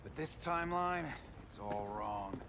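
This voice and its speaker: An actor playing a vengeful time-travelling speedster villain in a video game, sneering, menacing and theatrical. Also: male voice, fairly low pitch, animated, measured pace, background noise. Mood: taunting. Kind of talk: video game cutscene acting. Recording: loud music behind. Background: loud cinematic music.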